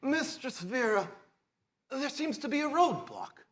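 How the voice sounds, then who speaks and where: A man acts out an elderly male driver in a tabletop roleplay. He is pitched up high, flustered and servile, stumbling politely over his words. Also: huge pitch swings, a little slow, a bit bright, heavily acted. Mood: flustered, deferential. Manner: polite, hesitant, fumbling for words. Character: old, wrinkled, flustered, deferential male driver servant.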